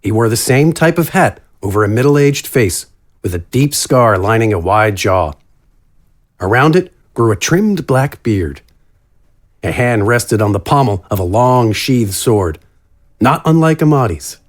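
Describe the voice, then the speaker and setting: A man's voice: medium pitch, big pitch swings, measured pace. A man reading scripted narration for a fantasy story.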